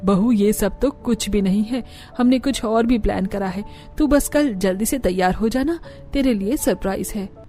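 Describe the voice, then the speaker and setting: A woman puts on a rich, doting mother-in-law for a cartoon story. She sounds mellow and lofty, indulgent and snobbish, with faint music behind. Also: mid-range voice, normal speed, close to an everyday voice. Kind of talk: Hindi cartoon story dialogue.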